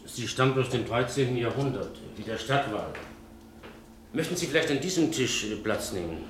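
An actor in a radio play as a courteous, secretive innkeeper, formal and obliging with theatrical intonation. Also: male voice, mid-range voice, animated, normal speed, lightly acted. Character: courteous, secretive male café landlord. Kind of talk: German radio play acting. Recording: echoey room, faint background noise.

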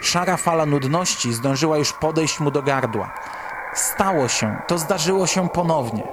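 A man's voice: on the high side, normal speed, background noise. A man reading a scripted horror story as the narrator of a radio drama. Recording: voice under loud music. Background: loud music.

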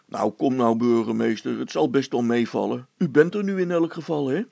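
A man voicing a practical, unruffled handyman who coaxes and gently teases in a children's story. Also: medium pitch, moderate pace, gravelly, no room sound. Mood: patient, teasing. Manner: matter-of-fact, gently teasing, encouraging.

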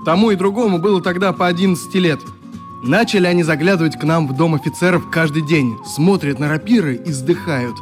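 An actor playing a friendly young youth leader in a radio drama, telling stories in a chatty, expressive way with a wistful streak. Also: male voice, on the high side, a little fast, faint music behind, natural voice. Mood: animated reminiscing. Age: young adult. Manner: chatty, expressive, acts out remembered exchanges. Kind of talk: radio drama, reminiscing dialogue.

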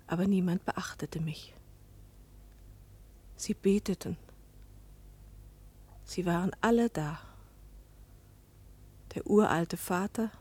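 An actress playing a troubled, melancholy wife and mother in a German radio play, speaking slowly and gravely with breath in her voice. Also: medium pitch, mellow. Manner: slow, grave, breathy dropping to whispers.

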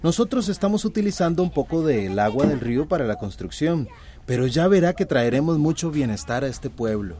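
Proud company man, defensive, highish mellow animated voice, radio drama. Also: brisk. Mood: confident, defensive. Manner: self-assured, defensive. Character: proud, dismissive grown son, company manager's assistant.

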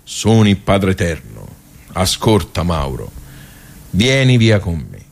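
A man's voice: low voice, big pitch swings, slow, vocal fry, heavily acted. A man voicing God the Father as a casual, impatient, colloquial figure with a Tuscan accent in a radio monologue. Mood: impatient, bossy. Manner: colloquial, commanding.

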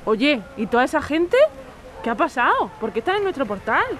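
A woman's voice: sits high, very animated, brisk, a bit bright, slight background noise. A woman playing an exasperated, worried wife in a radio drama, insistent and reproachful. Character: exasperated, worried wife, wary of others' troubles.

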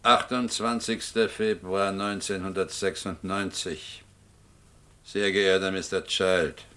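A man's voice: sits low, fairly even intonation, takes it slowly, sharp tone, heavily acted. A man playing an impersonal debt-collection official reading out formal letters in a German radio play. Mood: coldly formal. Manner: formal, bureaucratic.

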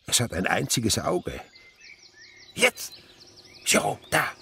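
Radio play lead: old philosophical nature lover, theatrical, hugely varied intonation, clear insect noise behind him. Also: male voice, medium pitch, wildly swooping pitch, slow pace, sharp tone, heavily acted. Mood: wry, animated. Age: older. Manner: theatrical swings from murmur to exclamation. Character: old insect researcher, rapturous, philosophical, kindly uncle.

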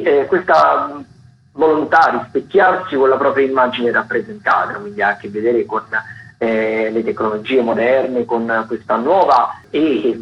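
A man's voice: medium pitch, muffled recording. Roomy-sounding man hosting arts radio. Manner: hesitant, halting, self-correcting, with chatty asides. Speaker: radio host presenting theatre listings. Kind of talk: informal spoken radio arts listings.

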